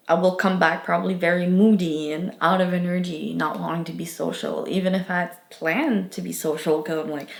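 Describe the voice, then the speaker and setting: A young woman with a French accent gives earnest, thoughtful interview answers. Her voice sits low and has a gravelly edge. She pauses often with fillers and restarts, and the room around her echoes.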